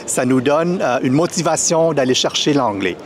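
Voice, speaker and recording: A man's voice: a little high, animated, normal speed. A man born in Vietnam, a native Vietnamese speaker, answering street interview questions as a festival volunteer, hesitant and full of fillers and restarts. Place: outdoors at a city festival.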